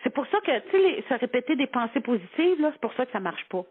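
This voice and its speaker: A woman's voice: sits high, big pitch swings, fast talker, breathy edge. A woman with a Quebec French accent giving a conversational psychology segment on the radio, explaining things informally with everyday examples and imagined quotes. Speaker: psychology columnist on a radio show. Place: radio broadcast. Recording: tinny, phone-like band-limited radio audio.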